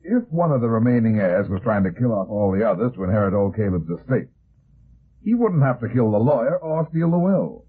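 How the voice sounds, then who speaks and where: Laconic low-voiced radio private eye, thin old recording. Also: male voice, very animated, brisk, slightly rough. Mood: confident. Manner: laconic, blunt questioning. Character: cool, unflappable hard-boiled private detective. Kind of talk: 1950s radio detective drama acting. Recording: muffled phone-like vintage radio recording.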